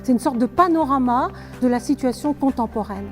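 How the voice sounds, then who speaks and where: A woman's voice: high-pitched, a little fast, soft background music. A woman scholar of literature giving a precise, academic interview answer.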